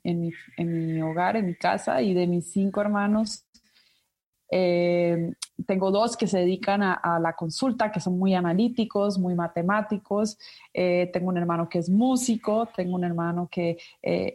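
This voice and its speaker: A woman's voice: lowish voice, a little mellow. Ecuadorian-born woman, thoughtful interview guest. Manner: thoughtful, many fillers and restarts. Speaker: academic guest, researcher. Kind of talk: interview conversation.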